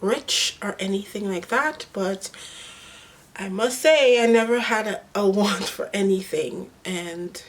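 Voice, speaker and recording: A young woman from Guyana, talking unscripted to her vlog audience in a slow, earnest, reflective way. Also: medium pitch. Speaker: vlogger.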